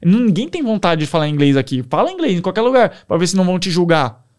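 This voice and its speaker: A young man with a Brazilian Portuguese accent, a podcast guest telling stories in a casual, animated way. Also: high-pitched, fast. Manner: animated, enthusiastic storyteller. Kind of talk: casual storytelling.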